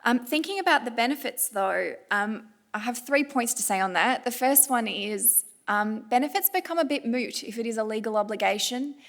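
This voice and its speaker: An Australian woman giving an explanatory panel presentation at a conference, pausing often as she speaks. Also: on the high side, animated, a bit bright. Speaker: panel speaker, director of a climate law non-profit.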